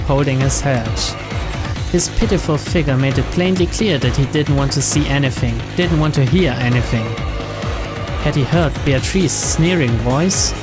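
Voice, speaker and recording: Male narrator, average register, reading visual novel mystery prose under a loud musical score. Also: mid-range voice.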